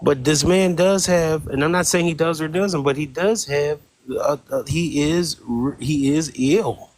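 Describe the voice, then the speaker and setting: A man's voice: on the high side, a little slow, dry recording. Hesitant deferential man, dry sound. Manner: hesitant, repeats words, deferential. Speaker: moderator. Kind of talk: live-stream call-in discussion.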